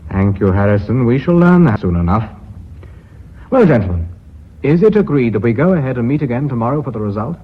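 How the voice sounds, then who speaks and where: A formal British board chairman with a husky voice and expressive intonation, courteous and in command. It sounds like a muffled old radio play. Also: medium pitch. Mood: brisk, formal. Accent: British English. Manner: courteous, measured, businesslike. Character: formal, authoritative company chairman running a board meeting. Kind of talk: British radio drama acting.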